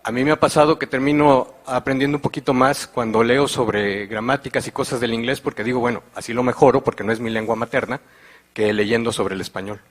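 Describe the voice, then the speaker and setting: A man's voice: mid-range voice, fairly even intonation, a little fast. Hesitant young man asking a question. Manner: rambling, hesitant with "este" fillers. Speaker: audience member asking a question. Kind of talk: question from the audience.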